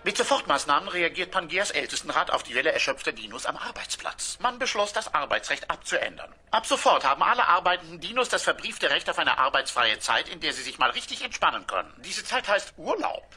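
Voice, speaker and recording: Dino tv newsreader, raspy, big swings, official and urgent, parody bulletin. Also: male voice, fairly high pitch, sing-song, brisk, few pauses, sharp tone, exaggerated voice. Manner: formal, emphatic. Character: urgent, solemn dinosaur TV newsreader. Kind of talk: mock news bulletin.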